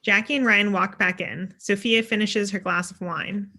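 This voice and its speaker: Bright-voiced woman reading stage directions. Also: medium pitch, steady tone, normal speed. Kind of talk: scripted narration of screenplay directions.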